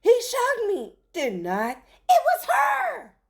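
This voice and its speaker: A woman voicing indignant storybook shoppers who whine and shout accusations at each other. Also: very high voice, wildly swooping pitch, slow, a little hiss or hum, pitched above the performer's own voice, full character voice. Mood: indignant, accusing.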